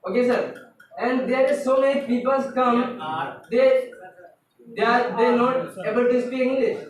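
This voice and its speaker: A young man with an Indian accent, a student debating in English in class, halting and restarting phrases. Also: very high voice. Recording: echoey room, far from mic.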